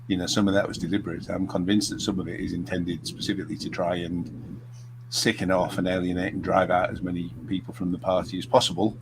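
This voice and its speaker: A man's voice: deep voice, flat delivery, fast talker. A man hosting a casual political chat, rambling with lots of "you know" fillers. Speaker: host. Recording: noticeable background noise.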